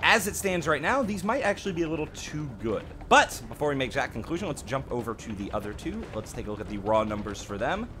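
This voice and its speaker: A man's voice: medium pitch, very animated, fast, few pauses, over music. A man giving casual, conversational commentary on a game update as a host, offering his own opinions with some self-deprecation. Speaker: gaming channel host.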